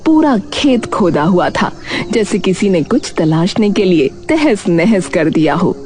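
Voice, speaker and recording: Very slow-paced woman story narrator. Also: on the low side, very animated, mellow. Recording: clear music bed behind voice. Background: music.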